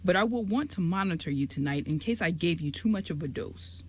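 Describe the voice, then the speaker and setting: Woman playing a low-voiced, gentle old male giant. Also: expressive, brisk, breathy edge. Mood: caring, measured. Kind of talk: amateur radio drama acting.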